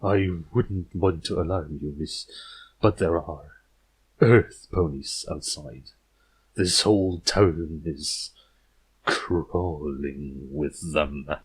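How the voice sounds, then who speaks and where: A man voicing a creepy, paranoid, unnervingly polite pony horror author from a storybook, slowly, with a thick gurgling voice. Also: a bass voice, a little hiss or hum, voice pushed down, poor audio. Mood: paranoid unease. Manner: slow, drawn-out delivery.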